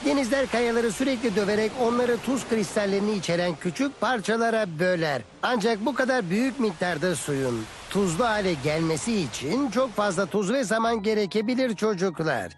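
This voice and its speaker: An actor playing a kindly old teacher in an educational cartoon dub, explaining things to children. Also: male voice, sits high. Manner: didactic, addressing children. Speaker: narrator-teacher.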